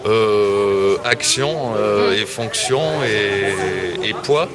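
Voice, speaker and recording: A man's voice: fairly low pitch, very slow. A man answering street interview questions, often searching for words and repeating himself. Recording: loud crowd noise behind.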